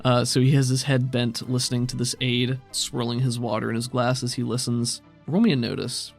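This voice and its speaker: Man, game master, measured descriptive narration, tabletop roleplaying game, mid-range pitch, music behind. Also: flat delivery, creaky.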